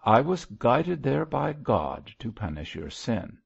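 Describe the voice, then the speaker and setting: A man's voice: mid-range voice, sing-song, takes it slowly, vocal fry, slightly rough, lo-fi sound, pitched above the performer's own voice, full character voice. A man voicing a stern, pious old missionary in an audiobook, solemn and exhorting. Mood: cool, stern. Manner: solemn, exhorting, declamatory prayer. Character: wary old male zealot missionary, stern, fervently pious. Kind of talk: audiobook character voice.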